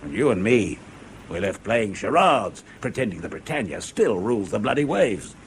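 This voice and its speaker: Disillusioned veteran agent for radio drama: low, volatile, sardonic, very dynamic intonation. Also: male voice, sing-song, normal speed, noisy background. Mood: angry, despairing. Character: embittered, disillusioned veteran British spy, cynical and cornered. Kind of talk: impassioned radio drama acting.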